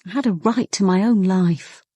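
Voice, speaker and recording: A woman's voice: medium pitch, moderate pace, mellow, breathy edge, dry recording, natural voice. An actress with an English accent playing a young police inspector who narrates her private life in an intimate, weary, guarded way for a radio drama. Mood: strained, resentful.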